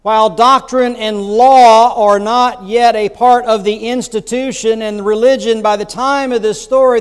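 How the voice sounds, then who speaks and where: A man's voice: very high voice, clean tone. A man preaching a sermon as bishop, telling the story in a conversational way with rhetorical asides. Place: church sanctuary. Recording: long church reverb.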